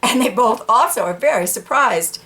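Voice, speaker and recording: A woman's voice: a little high, lively intonation, moderate pace, pushed and bright. A woman reading a picture book to children, narrating informally with explanatory asides. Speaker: storytime reader.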